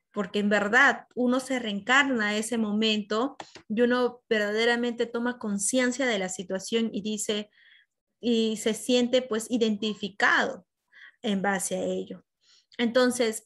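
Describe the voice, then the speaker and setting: A woman's voice: on the high side, fairly bright tone. A Peruvian woman teaching an online class, explaining with examples and calling on students by name over a video call. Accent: Peruvian Spanish. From Peru. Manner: asks questions and praises students by name.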